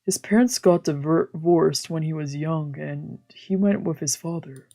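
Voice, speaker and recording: Husky, mellow woman narrating a story. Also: deep voice, normal speed. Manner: stumbles over words while reading. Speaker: streamer. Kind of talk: scripted visual novel narration read aloud. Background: game music.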